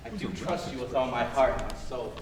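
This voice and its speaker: A man's voice: mid-range voice, a little fast, very noisy, lightly acted. A man playing an anguished, protective father who works as a prison guard, in a staged musical reading. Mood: earnest, protective. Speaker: musical theatre actor-singer. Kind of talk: live staged musical reading, spoken and sung. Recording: echoey stage from far off, music behind.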